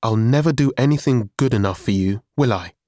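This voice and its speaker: A man's voice: brisk, darkish tone, natural voice. A man voicing a resentful, hot-tempered seventeen-year-old boy, defensive and emotional in an audiobook story. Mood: bitter, shouting. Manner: defensive, emotional, raised high voice when upset.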